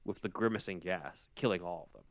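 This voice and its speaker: Low-voiced man chatting, dry close sound. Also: a little fast, dry recording. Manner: informal, explanatory. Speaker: podcast co-host. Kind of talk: casual podcast chat summarizing a script.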